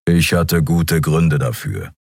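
Kero, a taciturn male creature who answers in a low, growling grumble, blunt and deadpan, as a male audiobook reader plays him. The voice is deep and husky, and it sits close and dry on the mic. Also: slow pace, no echo, heavily acted. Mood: curt.

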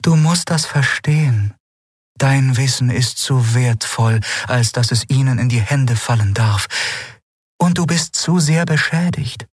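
A man voicing a calm, sorrowful winged alien woman who speaks gently and gravely. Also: medium pitch, unhurried, no room sound, studio quality, voice pushed up.

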